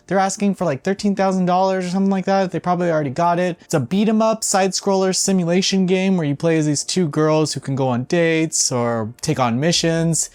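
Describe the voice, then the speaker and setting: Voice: mid-range voice, darkish tone. A video game news host giving casual, opinionated commentary in an informal, blunt way, with some swearing.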